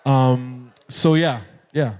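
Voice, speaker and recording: A young man with an American accent, a guest musician chatting in a relaxed interview and laughing often. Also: medium pitch, very slow, smooth. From Nairobi, Kenya. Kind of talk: casual interview chat.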